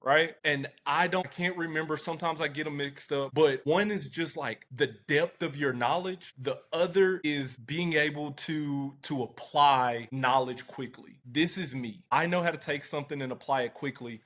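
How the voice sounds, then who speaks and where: A man giving conversational interview answers as a guest, in a halting, thoughtful and self-effacing manner. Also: a little high, a bit bright. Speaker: interview guest, car dealership internet director.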